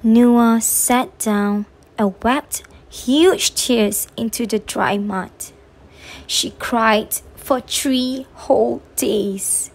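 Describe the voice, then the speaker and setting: A woman reading a children's story aloud in an expressive, drawn-out way meant for young listeners. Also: fairly high pitch, gravelly. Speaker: storybook reader on a children's channel.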